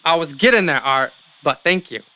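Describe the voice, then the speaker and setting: Male UFO historian for radio comedy-drama, acted: bright, clear tone, didactic, earnestly paranoid. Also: on the high side, animated, poor audio. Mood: insistent, paranoid. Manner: measured, didactic. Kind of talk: radio pundit explanation.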